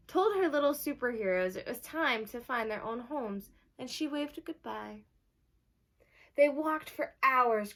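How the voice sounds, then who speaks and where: Female primary teacher, slightly high voice, storybook read-aloud with simple explanations and questions for young listeners.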